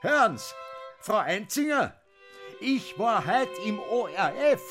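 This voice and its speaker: An agitated, muddled old Austrian in a radio play. He speaks slowly and haltingly, groping for words, with wide swings in pitch, over prominent music. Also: big pitch swings, full character voice. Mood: excited, flustered. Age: older. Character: confused, agitated old Austrian former film assistant. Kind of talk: radio drama acting.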